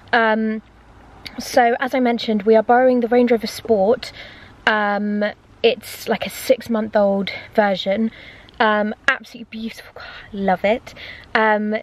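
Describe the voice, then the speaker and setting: A woman's voice: on the high side, fairly even intonation, measured pace. A young adult woman, chatting casually and informally to camera as a vlogger. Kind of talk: casual vlog chat to camera.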